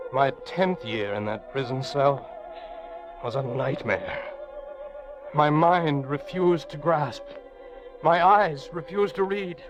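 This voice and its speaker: A man's voice: mid-range voice, muffled recording, lightly acted. An actor playing an anguished, lonely prisoner recounting his confinement in an old radio drama, with theatrical, intense delivery. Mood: weary, sorrowful. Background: music.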